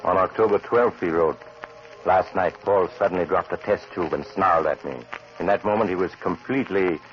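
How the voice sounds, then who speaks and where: An actor playing a sinister, genteel doctor who tells macabre tales, ominous and mock-polite, in 1940s radio horror style. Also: male voice, deep voice, normal speed, loud music behind the voice, lightly acted. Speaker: host narrator. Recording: dull 1940s radio recording.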